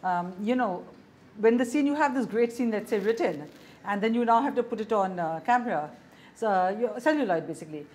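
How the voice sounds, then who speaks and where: A woman's voice: medium pitch, lively intonation, brisk, darkish tone, slight background noise. A woman in her fifties from India, interviewing a guest in a small indoor room and asking long, meandering questions. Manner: long rambling questions with fillers.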